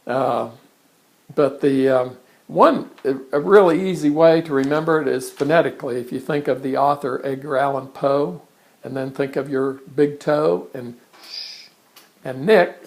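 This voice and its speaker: A man, introducing himself in a casual, halting monologue from his art studio. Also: on the high side, vocal fry. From born in England, raised in Kansas. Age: 60s. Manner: conversational, halting with frequent restarts and fillers. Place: his art studio in front of a blank canvas.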